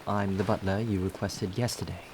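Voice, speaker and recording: A man's voice: low-pitched, level tone, brisk, natural voice. A man playing a polite, deferential butler in an ASMR roleplay, with formal, flowery phrasing. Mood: courteous. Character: courteous, well-spoken male butler, deferential and appreciative. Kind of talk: ASMR roleplay acting, addressed to the listener. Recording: rain ambience and clear background noise.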